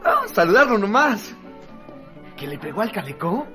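A probing stranger puts his questions directly. His sharp, fairly high voice moves widely in pitch, with faint music behind. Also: very animated, moderate pace, heavily acted. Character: inquisitive male stranger sizing up a cantina boss. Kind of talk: radio drama acting.

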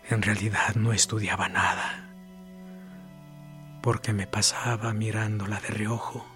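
Guy acting wistful kid telling his story, radio drama, hushed but bright voice, music underneath. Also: on the low side. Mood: shy, nostalgic. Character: wistful boy narrator recalling childhood longings. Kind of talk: dramatic monologue in a radio play.

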